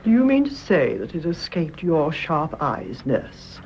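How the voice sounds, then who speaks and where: An actress playing a composed, forgiving older English mother-in-law in a radio drama, measured and dry. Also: low voice, big pitch swings, a little mellow, lo-fi sound, slight background noise. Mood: dry, understanding. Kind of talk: British radio drama acting.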